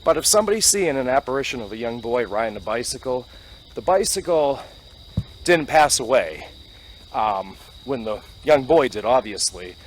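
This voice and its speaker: Male ghost-hunter host, mid-pitched, outdoors at night with crickets and steady background noise. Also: normal speed, poor audio. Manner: calls out loudly to spirits, otherwise low and musing. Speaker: paranormal investigator host. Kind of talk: casual narration while ghost hunting. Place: outdoors on a wooded road at night.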